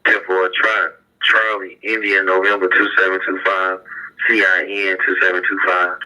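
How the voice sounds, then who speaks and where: Male police officer, fairly low pitch, extremely sharp tone, clipped radio calls, tinny scanner transmission. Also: completely flat delivery, normal speed. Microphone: two-way radio.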